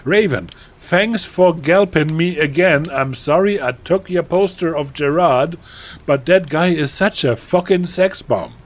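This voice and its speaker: Man putting on a shrill, melodramatic teen goth girl. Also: unhurried. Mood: gushing, bratty. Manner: overwrought, shouty exclamations. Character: shrill melodramatic teenage goth girl narrator, hot-tempered. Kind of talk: mock-dramatic reading of fan fiction.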